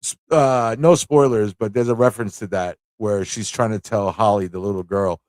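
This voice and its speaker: A man's voice: fairly low pitch, fairly even intonation, moderate pace, darkish tone, no echo. A man hosting a casual live-stream trivia show, chatty and playful, often thinking aloud to his viewers. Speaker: host of a live pop culture show.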